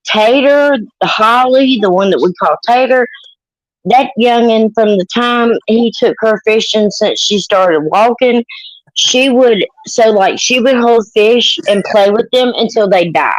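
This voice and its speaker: A woman's voice: fairly high pitch, a little slow, dry recording, low-quality recording. A woman, telling rambling, joking stories about her kids in casual chat. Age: 30s.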